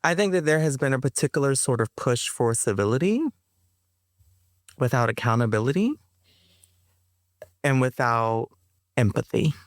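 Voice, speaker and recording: A woman guest and performer in a reflective interview, speaking slowly and pausing to find her words, with slangy asides. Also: very deep voice, animated, no room sound, clean studio sound.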